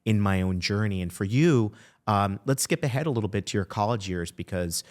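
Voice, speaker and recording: A man from Miami hosts a podcast interview, relating to his guest before moving on with a measured question. His voice is very deep and low-set. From Miami, USA. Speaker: podcast host. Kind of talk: interview conversation.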